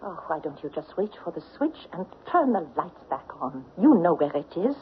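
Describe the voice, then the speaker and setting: A shrewd stage diva of old radio mystery, bold and theatrical. Her pitch swoops freely as she coolly taunts her opponent. The sound is thin and phone-like, with light hiss. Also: sing-song, moderate pace. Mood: cool, accusing. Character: shrewd, bold French stage actress confronting a killer. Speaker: radio drama actress. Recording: tinny, noisy old radio recording.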